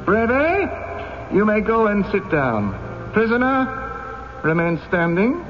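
A commanding judge in an old radio play. His voice is pitched high for a man and declaims with formal, official order. The sound is dull and band-limited. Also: huge pitch swings, slow, music in the background, full character voice. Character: formal, commanding male judge presiding in court. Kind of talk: old-time radio drama acting.

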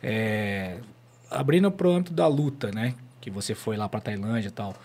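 A man interviewing a guest, asking prepared questions in a relaxed way. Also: fairly low pitch, normal speed.

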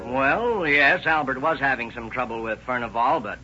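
An actor playing a gossipy country neighbor, hesitant and folksy, in 1930s radio drama style. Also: male voice, medium pitch, moderate pace, music in the background, lightly acted. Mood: gossipy, helpful. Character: rambling, gossipy male country neighbor witness. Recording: muffled vintage radio recording.

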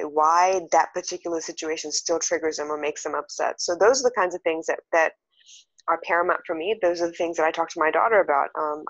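A woman's voice: lowish voice, dry recording. A woman from Singapore who grew up in several countries, talking casually and reflectively as a podcast guest. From born in Singapore, raised across several countries. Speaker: podcast guest, emotional intelligence coach. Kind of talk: casual, reflective interview talk. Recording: dull, slightly muffled lo-fi audio.

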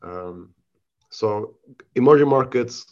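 A man with a Swedish accent and a mid-range voice answers interview questions in a halting, casual way, often restarting his sentences. The audio is dull and lo-fi. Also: mid-range voice, animated, a little mellow. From Sweden. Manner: halting, many restarts and fillers. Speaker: podcast guest, investment researcher.

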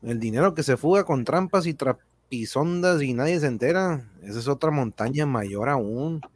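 A man's voice: mid-range voice, animated, normal speed, slightly rough, no echo. A man playing an indignant, folksy explainer, emphatic and full of rhetorical questions, in an amateur radio drama reading. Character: indignant, folksy man explaining how foreign banks exploit people.

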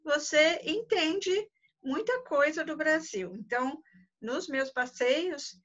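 A woman's voice: high voice, lively intonation, slow pace, a bit bright. A woman from Brazil with a Brazilian accent, a tour guide answering conversationally in an interview. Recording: dull, muffled lo-fi audio.

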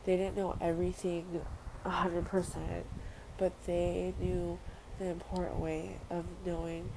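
Young woman from Samoa, earnest vlogger, low and flat delivery. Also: measured pace, vocal fry. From Western Samoa. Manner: earnest, repeats phrases, casual fillers. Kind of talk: heartfelt personal monologue. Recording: noisy background ambience.

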